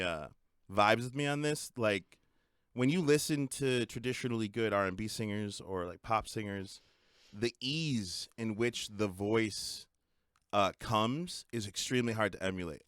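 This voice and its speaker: Young male podcast co-host, playful and animated, laughs a lot, dry close sound. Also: on the low side, smooth, no echo. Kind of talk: casual podcast chat.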